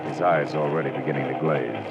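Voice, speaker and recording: A man's voice: very deep voice, fairly quick, vocal fry, lo-fi sound, heavy background noise. An actor playing a wry, world-weary male private detective in 1940s radio drama style, terse and sardonic. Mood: grim, wry. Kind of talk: 1940s radio drama acting and first-person narration. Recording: vintage radio recording with prominent music.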